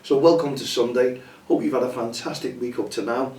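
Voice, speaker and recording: A hoarse man hosts a casual chat show, rambling and chatty with lots of fillers. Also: medium pitch, steady tone, a little fast, a little mellow. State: hoarse, losing his voice. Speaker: show host. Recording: roomy echo, distant from mic, faint ambience.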